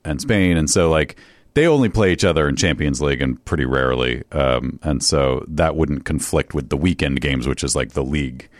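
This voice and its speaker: A man's voice: rumbling low, moderate pace, no echo. A man co-hosting a casual podcast in a studio, rambling and hesitant as he explains things. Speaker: podcast co-host and producer.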